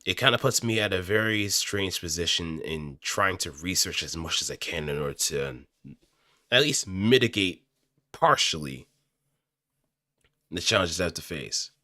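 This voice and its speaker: Man, low-pitched, forceful bright tone, casual rambling delivery, thinking aloud, close studio mic. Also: animated, normal speed, no echo. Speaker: aspiring amateur voice actor. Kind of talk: casual rambling chat to viewers. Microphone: close studio microphone, dry.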